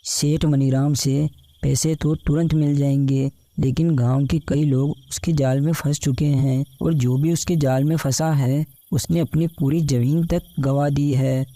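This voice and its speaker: Poor village farmer played by a man: plain-spoken, worried husband, very mellow dark timbre, bedtime-story cartoon. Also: fairly high pitch, fairly even intonation, unhurried. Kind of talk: Hindi animated bedtime story dialogue.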